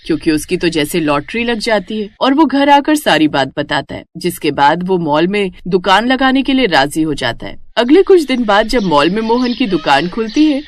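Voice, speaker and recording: A woman's voice: mid-range voice, no room sound. A woman narrating a scripted moral story for a cartoon.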